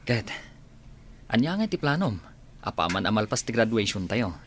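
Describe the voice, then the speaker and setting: A man's voice: fairly low pitch, big pitch swings, normal speed, a bit bright, slight background noise. An actor playing a practical young college man in a radio drama, speaking with a Filipino accent. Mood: friendly, inquiring. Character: Tindo, practical young male college friend. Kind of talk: Ilocano radio drama acting.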